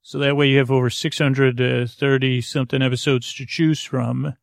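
A man with a creaky voice hosting a sleep podcast, wandering through a soothing, hesitant monologue full of tangents. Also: medium pitch, flat delivery, moderate pace, no room sound, studio quality. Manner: meandering, hesitant, full of tangents and trailing restarts; soothing, lulling. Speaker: podcast host. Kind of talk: rambling bedtime monologue to lull listeners to sleep.

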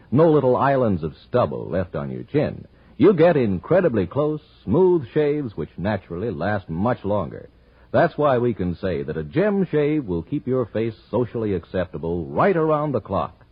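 Male radio announcer, low husky voice, emphatic 1940s sales delivery, animated. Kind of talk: 1940s radio advertising and narration. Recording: dull old radio recording.

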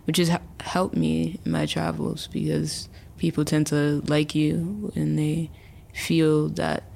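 A woman's voice: low-pitched, little pitch movement, takes it slowly, creaky, darkish tone. A woman in her early twenties, a chatty interview guest who talks casually with many fillers and restarts. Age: early 20s. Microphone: remote call.

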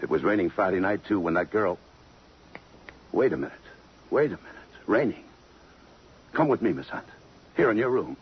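An actor playing a tough, brooding police detective in 1950s American radio drama, curt and hard-boiled. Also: male voice, sits low, lively intonation, moderate pace, long pauses, slightly rough, exaggerated voice. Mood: brisk, probing. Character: tough, brooding police detective lieutenant smitten by a murder victim. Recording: muffled, noisy 1950s radio recording.

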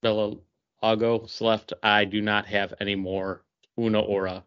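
A man with a fairly low voice talks in a loose, conversational review style. He halts and searches for his words as he goes. The sound is slightly dull and lo-fi. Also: level tone, unhurried, fairly bright tone, clear, resonant tone. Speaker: podcast co-host. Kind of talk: casual review chat.

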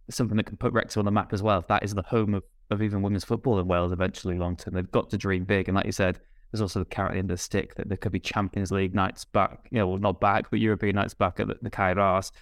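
A man's voice: on the low side, no room sound, clean studio sound. A man hosting a podcast, asking long, rambling conversational questions. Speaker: podcast host. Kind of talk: casual podcast interview.

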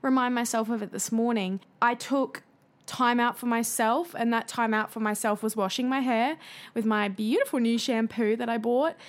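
Woman host chatting on her own podcast, bit raspy from belting songs, lots of ums and likes. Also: on the high side. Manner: chatty and confiding, with fillers. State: raspy from singing. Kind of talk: casual solo podcast chat.

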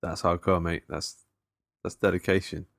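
A man hosts a chatty podcast with a low, easygoing voice. He talks in a loose, hesitant way, pausing with fillers and chuckling now and then. The sound is close and dry. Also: flat delivery, creaky, a bit bright, no room sound. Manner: laid-back, hesitant, chuckling. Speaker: podcast host. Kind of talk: casual chat.

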